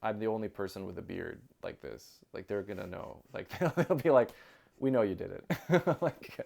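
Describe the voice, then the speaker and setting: A man chatting casually as an interview guest, with an animated storytelling manner. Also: on the low side, normal speed, creaky. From outside Japan. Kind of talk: casual chat.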